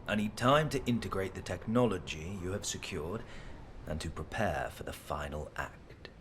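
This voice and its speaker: An actor playing a grave, calculating male schemer in a video game, speaking in a solemn, measured, deliberate way. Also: low voice, animated, noisy background. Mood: solemn, intense. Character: grave, calculating male schemer staking his life on revenge. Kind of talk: dramatic video game dialogue.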